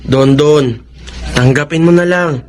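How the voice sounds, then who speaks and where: A level-headed young buddy in a Filipino radio drama. He speaks frankly and earnestly in a fairly high voice. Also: slow pace, a little mellow, a little hiss or hum. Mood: urging.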